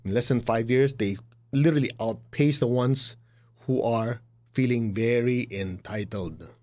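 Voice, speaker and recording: A middle-aged spiritual teacher with a Chinese accent talks to his students plainly and bluntly. His voice sits in an ordinary middle pitch. He acts out the people he quotes and laughs at his own asides. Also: unhurried, dark tone. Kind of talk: motivational spiritual talk.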